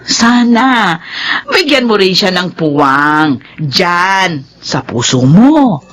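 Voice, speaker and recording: An actress playing a playful, meddling, caring Filipino aunt with a husky voice and animated delivery in a radio drama. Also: female voice, on the low side, drawn-out words, full character voice. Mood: coaxing, concerned. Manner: animated, teasing and coaxing.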